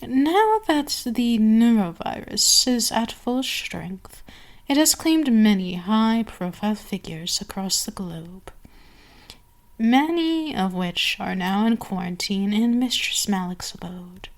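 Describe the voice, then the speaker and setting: A woman voicing a seductive, domineering vampire queen in an ASMR roleplay, speaking deliberately with savoring pauses to an enslaved servant. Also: medium pitch, expressive. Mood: commanding, deliberate. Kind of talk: ASMR roleplay, scripted monologue.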